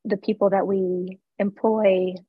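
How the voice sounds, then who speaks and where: Husky-voiced woman chatting candidly. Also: mid-range voice, flat delivery, measured pace, dry recording, phone-quality sound. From East Coast of the US. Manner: candid and chatty, with laughs and fillers. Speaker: florist and shop owner. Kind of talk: casual interview chat.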